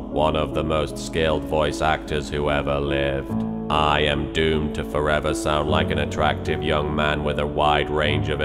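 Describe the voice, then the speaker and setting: A man voicing a gloomy, self-pitying teenage ninja in a parody cartoon dub, with brooding deadpan delivery. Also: very deep voice. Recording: loud music behind the voice. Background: plucked guitar music.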